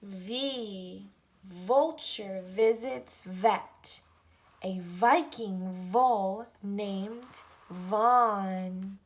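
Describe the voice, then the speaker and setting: A woman reading a picture book aloud to children and teaching the alphabet, with deliberate pauses between phrases. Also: medium pitch. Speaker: read-aloud storyteller for children.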